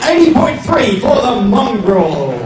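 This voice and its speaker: A man working as master of ceremonies at a boxing weigh-in, announcing in a loud, showy style with drawn-out introductions. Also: high voice, very animated. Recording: echoey PA from far off, loud crowd and music. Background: loud music and crowd.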